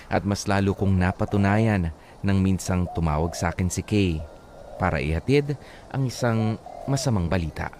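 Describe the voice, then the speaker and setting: A low-voiced, wistful young Filipino man tells his love story in a radio drama. He is reflective and confiding, with clear ambient noise behind him. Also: normal speed. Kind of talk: radio drama first-person narration.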